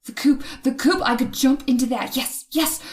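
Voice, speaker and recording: A woman's voice: high-pitched, normal speed, lightly acted. A woman playing a frightened woman motorist in an old-time radio horror drama, with tense, suspenseful, dramatic delivery. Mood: panicked. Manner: dramatic, breathy gasps and hesitant stammers when afraid. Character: frightened young woman motorist, tense, narrating her terror. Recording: noisy recording with ambient effects.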